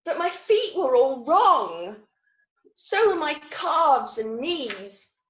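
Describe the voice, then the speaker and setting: An actress playing a self-critical, wry and wistful woman recovering from an accident, telling her story in a theatrical monologue with deliberate pauses. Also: high-pitched, very animated, fairly bright tone, highs cut off, heavily acted. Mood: dismayed, self-pitying. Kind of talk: dramatic monologue reading of new writing. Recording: roomy, echoing online recording.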